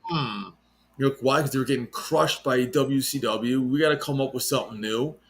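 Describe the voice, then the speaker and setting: A man's voice: medium pitch, moderate pace, a bit bright. A man in his late thirties chatting casually, laid-back and rambling with lots of fillers. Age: 30s. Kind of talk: casual chat.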